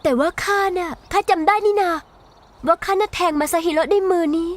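A woman voicing a gentle, apologetic young noble girl, shy and hesitant, in a Thai anime dub. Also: extremely high pitch, a little fast. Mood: remorseful.

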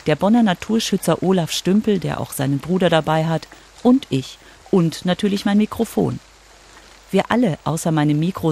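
A woman's voice: low-pitched, a little mellow. A woman reporter giving scripted narration for a children's radio story.

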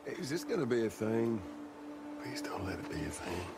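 An actor playing a dry, laconic middle-aged Texan father figure, gruff but gentle, in a naturalistic video game style. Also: lowish voice, sing-song, normal speed, very noisy. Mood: dry exasperation.

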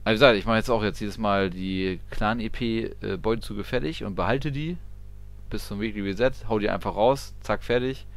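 A man's voice: on the low side, moderate pace. A man giving casual, slangy live commentary while gaming. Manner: colloquial, slangy. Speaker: gaming streamer. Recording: clear background game noise.

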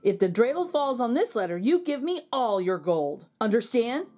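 A woman's voice: a little high, sing-song, normal speed, mellow. A woman voicing a playful, sly storybook man with a breezy, teasing, mock-innocent manner. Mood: sly, instructive. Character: playful, sly man who outwits goblins. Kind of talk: children's storybook reading.

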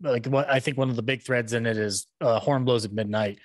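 A man's voice: medium pitch, fairly even intonation, brisk, dry recording. Young man chatting casually, dry close sound. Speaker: podcast host. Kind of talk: casual fan chat. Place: small room indoors, video call. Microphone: podcast mic on boom arm, headset.

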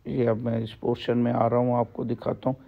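A man walks through his farm and explains each shed in a steady, level voice that barely rises or falls. He pauses often and fills gaps with short hesitations. The handheld phone audio sounds slightly dull. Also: medium pitch, gravelly. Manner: plain, explanatory, with frequent pauses and fillers. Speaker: farm owner giving a tour. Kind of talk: walking farm tour narration. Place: outdoors on a livestock farm. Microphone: phone camera held while walking.

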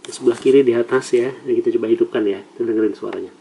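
A man's voice: mid-range voice, very fast, gravelly, warm tone, slight background noise, low-quality recording. An Indonesian man giving a casual, conversational hands-on tutorial as a vlogger. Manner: conversational, often tags phrases with "ya".

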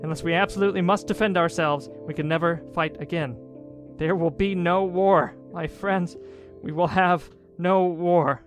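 Actor as earnest higher-voiced male pacifist preacher. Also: fairly even intonation, normal speed, soft background music, lightly acted. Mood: resolute. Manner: earnest, pleading. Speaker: actor in audio drama. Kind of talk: audio drama acting.

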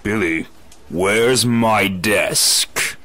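A man's voice: lowish voice, extremely slow, bright, forceful tone, a little hiss or hum, full character voice. A man playing a big, naive, blithely cheerful superhero in a hammy comic-book dub, bombastic in manner. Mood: blithe, scheming. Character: dim, blithely cheerful, oversized male superhero in clumsy disguise.

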